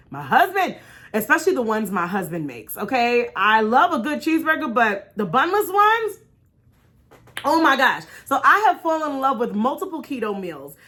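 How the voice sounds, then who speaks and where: A woman's voice: fairly high pitch, sharp tone. An American woman vlogging in a candid, casual storytime style, talking in an emphatic, encouraging, conversational way. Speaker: vlog host.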